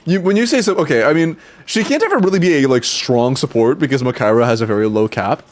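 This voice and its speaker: A man's voice: medium pitch, animated, quick pace. Stuffy young man chatting on stream. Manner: rambling, restarts, swears casually, laughs often. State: stuffy, congested from sinuses. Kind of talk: casual livestream chat with viewers.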